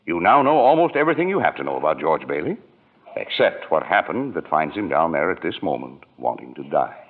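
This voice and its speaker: A man's voice: extremely low pitch, big pitch swings, heavily acted. A man playing a grave senior angel who narrates a life solemnly in a 1940s radio drama. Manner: solemn, measured storytelling. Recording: dull old radio recording, music underneath.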